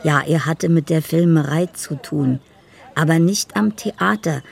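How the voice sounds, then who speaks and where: A woman's voice: sits low, unhurried, vocal fry, husky, heavily acted. An actress playing a dreamy, lonely woman who muses poetically over her love letters in a radio drama. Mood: dreamy, musing. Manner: poetic, musing. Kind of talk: literary radio drama monologue.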